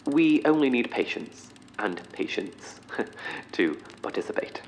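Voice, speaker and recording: An actor playing an eccentric professor in an audio drama, speaking in a formal, wordy, slightly awkward corporate manner. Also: male voice, mid-range voice, lively intonation, a little hiss or hum, poor audio. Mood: defensive, halting. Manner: formal, wordy, halting under pressure. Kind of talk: orientation film and meeting speech in audio drama.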